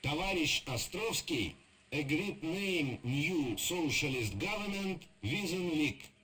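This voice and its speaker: An obedient state newsreader delivers a game's radio bulletin in stiff broken English. The Russian-accented voice is high, sharp and slow, with formal, halting phrasing. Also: male voice, expressive, slight background noise, rough recording. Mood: officious. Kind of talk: scripted propaganda radio news bulletin.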